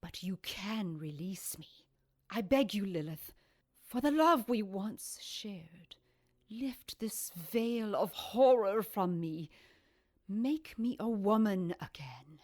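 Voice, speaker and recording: An actress playing an anguished, centuries-old cursed snake-woman in an audio drama, speaking formally and solemnly with breathy, hissing emphasis. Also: medium pitch, animated, takes it slowly. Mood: pleading.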